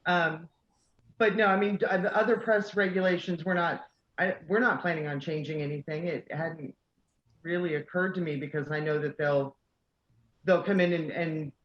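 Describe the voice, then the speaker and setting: A woman's voice: fairly low pitch, fairly even intonation, moderate pace, roomy sound, dull audio. A woman who organizes a convention, chatting casually and telling a self-deprecating story. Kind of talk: casual video call chat.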